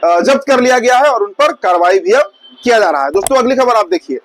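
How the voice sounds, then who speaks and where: A male news presenter with a strikingly high voice for a man. He speaks conversationally, explaining each item to the audience and restating phrases as he goes. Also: animated, fast talker, low-quality recording. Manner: conversational, explanatory, repeats and rephrases points. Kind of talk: informal news commentary addressed to viewers.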